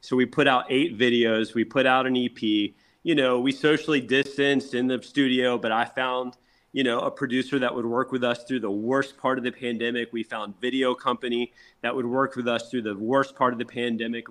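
A man with a mid-pitched voice speaks as a musician being interviewed. He tells his story casually but emphatically, with a proud, salesmanlike push behind his points. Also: fairly even intonation, a little fast, fairly bright tone. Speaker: rock band member being interviewed.

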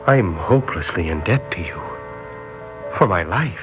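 A man's voice: low voice, animated, unhurried. A man acting an earnest, sentimental young sailor in a classic radio drama. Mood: tender, grateful. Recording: dull old radio recording with music.

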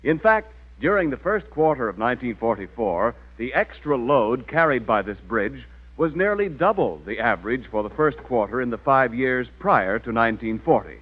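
A male American radio announcer in 1940s style with a mid-pitched, very animated delivery. He reads formally and emphatically, in measured phrases, on a very muffled vintage recording. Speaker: announcer and sponsor spokesman. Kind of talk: 1940s radio commercial, scripted narration. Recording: very dull, narrow old radio recording.

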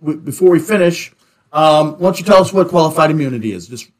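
A man hosting a casual panel discussion, speaking in halting, stop-start phrases with repeated words. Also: a little high, expressive. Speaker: talk show host.

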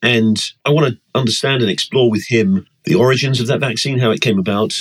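A man hosts an interview show, putting questions to his guest in a measured, formal and courteous way. His voice sits in the middle range and carries a husky, breathy grain. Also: mid-range voice, poor audio. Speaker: podcast host, interviewer. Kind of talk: interview hosting.

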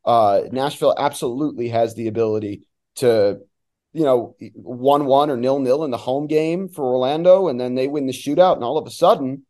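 A man's voice: medium pitch, normal speed, dark tone. A man giving casual, opinionated sports analysis as an interview guest, in an informal conversational way. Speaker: guest, soccer play-by-play announcer.

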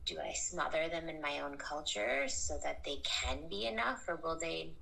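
A woman speaking as a guest in a casual conversation, soft and hesitant, trailing off into whispers. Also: sits low, animated, moderate pace, creaky, poor audio. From United States.

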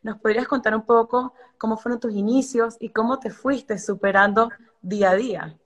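A woman's voice: a little high, fairly even intonation, moderate pace. A woman interviewing a guest, with frequent agreeing interjections. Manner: affirming, supportive interjections. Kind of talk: conversational interview.

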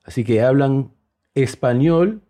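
A man in his forties, an English native speaker with a mixed Peruvian, Spanish and Mexican-American Spanish accent, hosting a podcast with joking, animated storytelling. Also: mid-range voice, takes it slowly. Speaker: podcast host. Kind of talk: casual chat.

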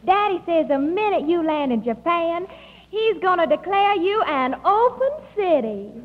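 A high, sugary voice with a Southern drawl: an innocent colonel's daughter in 1940s radio comedy. The old recording is muffled and rings with the hall. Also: female voice, very animated, a little slow, noisy background, full character voice. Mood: sweetly cheerful. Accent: American Southern. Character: sweetly cheerful, guileless Southern colonel's daughter.

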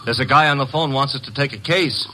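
A man's voice: mid-range voice, fast talker, sharp tone, close to an everyday voice, poor audio. An actor playing a wisecracking young male detective's assistant in 1940s radio drama style, with breezy joking patter. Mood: wry.

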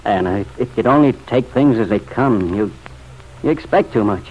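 A man's voice: fairly low pitch, expressive, normal speed, close to an everyday voice. An actor playing a bitter, restless young man in an old radio drama, curt and irritable. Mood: irritated, defensive. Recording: muffled, noisy old radio recording.

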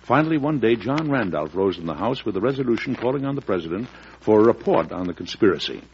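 Casting: burdened, wry American president, low-pitched and deliberate, vintage radio acting, muffled sound. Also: male voice, big pitch swings. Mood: measured storytelling. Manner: measured, weighty, theatrical. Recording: muffled, noisy old radio recording.

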